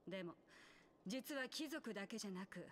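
An actress plays a scornful young woman who leads rebels in a video game. She talks fast in rough, blunt slang, with clear ambient noise behind her brash voice. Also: mid-range voice, animated, close to an everyday voice. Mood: cool scorn. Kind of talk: Japanese game cutscene acting.

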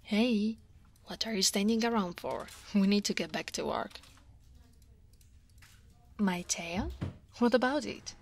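A woman from Italy voicing a guarded but teasing female dragon office senior in a soft, breathy ASMR roleplay. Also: medium pitch, slow, long pauses. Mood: flustered, playful. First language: Italian. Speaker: voice actor.

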